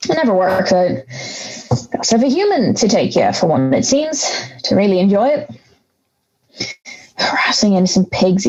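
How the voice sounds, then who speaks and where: A woman's voice: mid-range voice, a little mellow, lo-fi sound. A woman playing an aggrieved, misunderstood big bad wolf in an audio drama, ranting with sarcastic indignation. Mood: wistful, then bitter.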